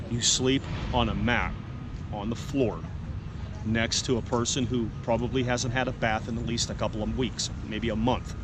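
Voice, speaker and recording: A man vlogging outdoors in a city park, his voice congested and stuffy. He speaks frankly and plainly, wandering into asides as he explains. City traffic and outdoor noise come through clearly behind him. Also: medium pitch. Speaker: homeless vlogger. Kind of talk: casual vlog monologue.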